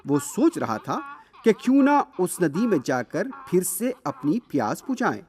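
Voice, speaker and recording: A man narrating a scripted children's fable as a storyteller, expressive and deliberate. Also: high voice, breathy edge. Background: music.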